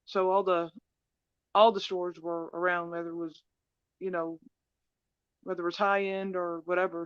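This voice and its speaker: A woman in her forties telling personal stories casually, in a halting, hesitant way. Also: lowish voice, dry recording, muffled recording. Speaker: stream panelist.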